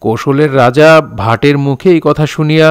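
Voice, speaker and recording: A man playing a patient elder telling a folk tale in deliberate, old-fashioned Bengali diction in an audio drama. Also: fairly high pitch, darkish tone, clear, resonant tone, lightly acted. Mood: patient, improvising. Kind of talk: storytelling within an audio drama.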